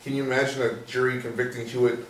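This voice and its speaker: An actor playing a weary, cynical police sergeant with a blunt manner in a stage play reading. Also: male voice, medium pitch, little pitch movement, quick pace, lightly acted. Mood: exasperated. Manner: blunt, jaded. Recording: roomy distant stage recording, faint noise.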